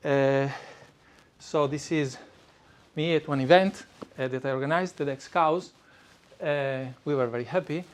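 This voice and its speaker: A man's voice: fairly high pitch, unhurried, long pauses, clear, resonant tone. A middle-aged man with an Italian accent gives a live talk to an audience as a speaking coach, talking conversationally with frequent fillers and restarts. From Italy. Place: event room before a live audience.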